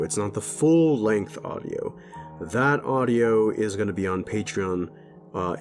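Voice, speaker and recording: Low-voiced man making a casual channel announcement. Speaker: audio roleplay creator and channel host.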